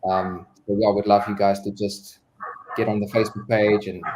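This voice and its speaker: A man's voice: fairly low pitch, little pitch movement, normal speed, background noise. A man talking as a singer guest on a live-stream video call, earnest, with many fillers and repeated words. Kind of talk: casual live-stream chat.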